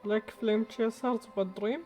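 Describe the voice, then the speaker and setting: A woman's voice: fairly high pitch, steady tone, a little slow, warm tone, faint music behind. A woman livestreaming a game with casual reactions, musing aloud and repeating phrases to herself.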